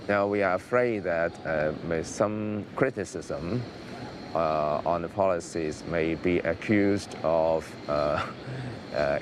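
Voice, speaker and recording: A male teacher with a Hong Kong accent, speaking haltingly in an interview, full of fillers and repeated words. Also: deep voice, noisy background. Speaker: liberal studies teacher.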